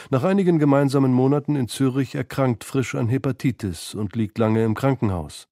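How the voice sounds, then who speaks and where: Male narrator for a literary radio documentary, mid-pitched, soft dark tone, very dry close recording. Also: dry recording. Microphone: close studio microphone.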